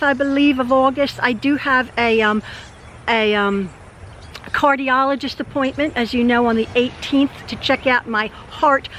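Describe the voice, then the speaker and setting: An older woman recording a casual vlog in her backyard, speaking with a hoarse, tired voice as she rambles with many fillers and laughs. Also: a bit bright, a little hiss or hum. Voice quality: high voice. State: hoarse, tired after illness. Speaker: channel host. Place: outdoors in a backyard. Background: birdsong.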